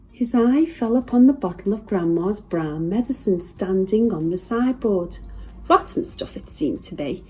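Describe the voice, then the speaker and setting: A woman reads a children's story aloud with plenty of expression. Her voice is mellow and medium in pitch, with some music in the recording. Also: husky, poor audio. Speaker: school staff member reading to children.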